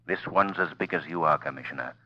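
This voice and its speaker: A man playing an invisible, mysterious crime fighter with a commanding, solemn, deliberate delivery in 1940s radio drama style. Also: very deep voice. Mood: urgent, commanding. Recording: muffled old-time radio recording.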